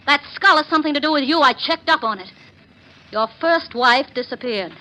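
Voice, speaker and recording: A woman's voice: sits high, expressive, fairly quick, pushed and bright. An actress playing a cold, shrewd, scheming woman in a 1940s radio drama, firm and interrogating in manner. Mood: threatening, commanding. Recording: noisy vintage 1940s radio recording.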